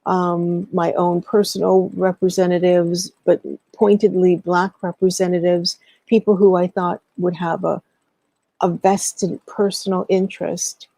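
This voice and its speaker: Middle-aged woman, interview guest, earnest and deliberate, relaxed mellow tone, frequent vocal fry, pauses mid-thought. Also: lowish voice, dry recording. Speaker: guest, historian and educator. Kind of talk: interview storytelling, recounting past work.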